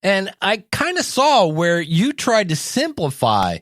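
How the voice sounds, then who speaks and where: High-pitched, animated male podcast host. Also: takes it slowly, dry recording. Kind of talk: casual interview in a scripted comedy sketch.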